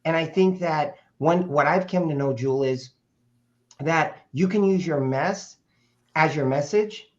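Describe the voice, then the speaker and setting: Guest, male, 50s, raspy and a bit hoarse, rambling and casual, dull lo-fi sound. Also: fairly high pitch, measured pace, darkish tone. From Portland, Oregon, USA. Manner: rambling with frequent fillers and restarts. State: hoarse from speaking over the weekend. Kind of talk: casual conversational storytelling. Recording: dull lo-fi audio.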